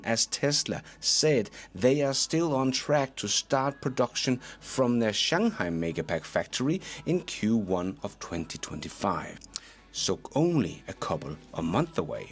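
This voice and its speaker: Low-voiced man with lively, swinging pitch. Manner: emphatic, opinionated, chuckles between points. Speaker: host of a stock news channel. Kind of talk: enthusiastic news commentary.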